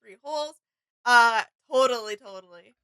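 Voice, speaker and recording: A woman's voice: fairly high pitch, normal speed, sharp tone, smooth, no room sound. A woman co-hosting a casual reaction show, talking in an agreeable, appreciative way.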